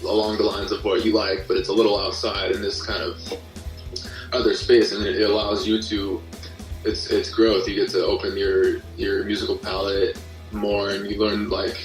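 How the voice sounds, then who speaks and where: A man speaking as an interview guest in casual chat, haltingly rephrasing as he tells his own story. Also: on the low side, completely flat delivery. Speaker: podcast guest, guitarist. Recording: roomy, distant mic, faint music.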